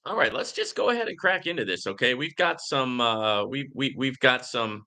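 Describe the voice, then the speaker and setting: A man's voice: medium pitch, lively intonation, moderate pace, bright, forceful tone. A man hosting a casual live Q and A, talking in an outspoken, emphatic way and often checking in with "right?". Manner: outspoken, emphatic, repeatedly seeking agreement with "right?". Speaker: livestream host answering viewer comments.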